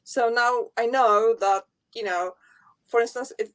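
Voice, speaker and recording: A woman with a Polish accent, hosting a casual interview and asking relaxed, curious questions. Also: fairly high pitch, measured pace. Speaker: host.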